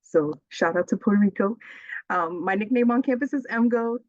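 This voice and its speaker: A woman's voice: mid-range voice, moderate pace, darkish tone, no echo. A woman, an associate director giving an upbeat, friendly webinar presentation over a video call, with frequent "um"s. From Bronx, New York. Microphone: laptop mic on video call. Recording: dull, dry video call audio.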